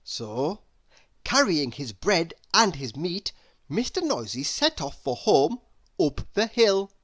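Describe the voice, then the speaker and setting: A woman narrating a children's story expressively, with deliberate dramatic pauses. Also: lowish voice, very animated.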